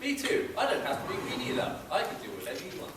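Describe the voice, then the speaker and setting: A grandiose comic pantomime candidate acted by a man, excitable and swooping. The voice is rather high. It is distant, with clear noise. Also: fast. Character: pompous, squabbling comic male rival candidate. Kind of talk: pantomime stage acting. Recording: far, echoey noisy stage.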